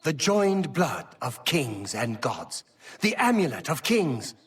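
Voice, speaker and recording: An actor playing an earnest, formal imperial chancellor in a fantasy game, with declamatory and emphatic delivery. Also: male voice, fairly high pitch, fairly bright tone, recorded from a distance, exaggerated voice. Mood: grave, mournful. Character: earnest imperial high chancellor, formal, stately, concerned statesman. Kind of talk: dramatic fantasy game acting.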